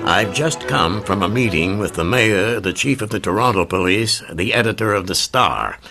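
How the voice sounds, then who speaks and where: An actor playing a dismissive, self-important official, weighty in delivery, in an old-time radio drama. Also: male voice, lowish voice, moderate pace, gravelly, over music. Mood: pompous, dismissive.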